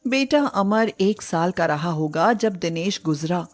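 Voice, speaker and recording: A woman's voice: lowish voice, lively intonation, measured pace. A woman narrating a scripted moral story in a dramatic storytelling style.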